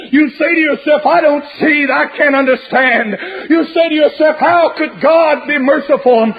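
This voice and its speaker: A male preacher with a strikingly high voice delivers a fire-and-brimstone sermon. His delivery is dramatic and repetitive, stretching out phrases as he drives each warning home. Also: poor audio.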